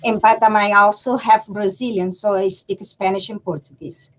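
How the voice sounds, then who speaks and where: A woman's voice: mid-range voice, fairly even intonation, moderate pace, a little hiss or hum, poor audio. A woman from Panama who works in infection prevention, introducing herself on a video call in a slightly hesitant way.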